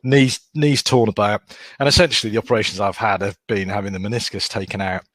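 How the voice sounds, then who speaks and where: A man's voice: fairly low pitch, steady tone, fast talker, a bit bright, no echo. A man co-hosting, asking a thoughtful and somewhat hesitant personal question. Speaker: co-host. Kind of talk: conversational questioning.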